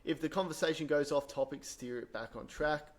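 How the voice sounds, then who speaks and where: A man presenting a software tutorial in an informal, explanatory way, thinking aloud as he works through each step. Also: on the high side, fairly quick. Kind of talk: casual screen-share software tutorial.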